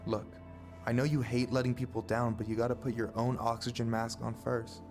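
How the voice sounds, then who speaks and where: An actor playing a calm, supportive young American man in a video game, talking gently and reassuringly. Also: mid-range voice, brisk, over music, close to an everyday voice. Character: calm, supportive young male best friend. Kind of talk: naturalistic video game acting.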